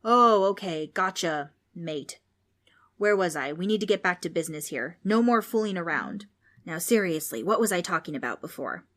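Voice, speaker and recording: A woman's voice: lowish voice, animated, normal speed, a bit bright. A woman voicing a cranky old male ghost who scolds, grumbles and loses his train of thought in a children's audiobook. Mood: muddled. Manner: grumbling, exasperated, old-fashioned insults and rambling.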